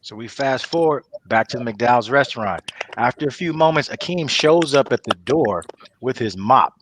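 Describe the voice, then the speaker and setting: A man's voice: mid-range voice, expressive, normal speed, noisy background. Casual male table-read narrator. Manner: casual, storytelling. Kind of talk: reading stage directions for a comedy table read.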